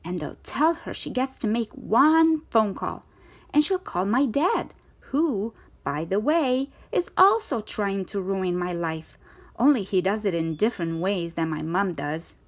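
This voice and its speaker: A woman reading a children's story aloud in an expressive, theatrical way, with emphatic stretched words. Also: medium pitch, measured pace. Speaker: storytime reader of children's books.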